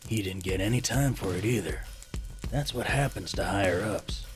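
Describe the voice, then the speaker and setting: A man's voice: lowish voice, normal speed, natural voice. A man playing a bitter, hungry homeless cannibal in an audio drama, telling his tale in a folksy, menacing way. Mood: wry, bitter. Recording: loud music under the voice.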